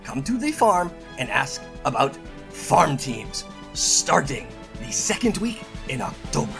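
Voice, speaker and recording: A man's voice: on the high side, big pitch swings, unhurried, creaky, over music. A man hosting a channel, reading aloud and tossing in casual asides in a playful, theatrical way. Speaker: channel host.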